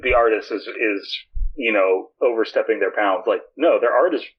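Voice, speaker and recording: Husky man on a dull lo-fi microphone. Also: fairly low pitch, normal speed. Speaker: podcast host. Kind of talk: casual podcast banter.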